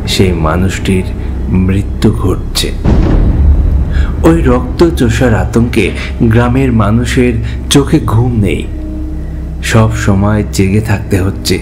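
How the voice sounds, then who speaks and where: A man giving a dramatic, scripted narration of a horror story as its narrator. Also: on the low side. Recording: music behind the voice.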